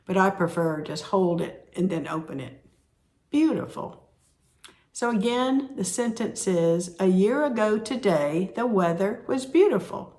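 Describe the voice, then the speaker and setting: Slow-talking woman teaching sign language. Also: medium pitch, expressive, a little mellow. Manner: repeats words slowly while demonstrating signs. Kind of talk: slow instructional explanation.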